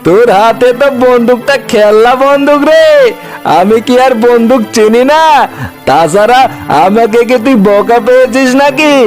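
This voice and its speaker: A man's voice: extremely high pitch, normal speed, soft background music. A man voicing a mocking, menacing tiger uncle who taunts scornfully in a comic cartoon.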